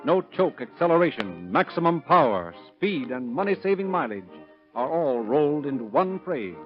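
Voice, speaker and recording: A man announcing and narrating in a formal, declamatory 1930s radio style with measured, scripted phrasing. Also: on the high side, big pitch swings. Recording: dull vintage radio with music.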